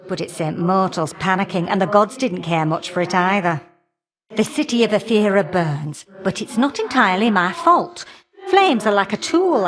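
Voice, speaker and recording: A woman's voice: medium pitch, lightly acted. A woman voicing a feisty, folksy elder goddess of flame in a video game, sassy and affectionately teasing. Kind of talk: video game character dialogue.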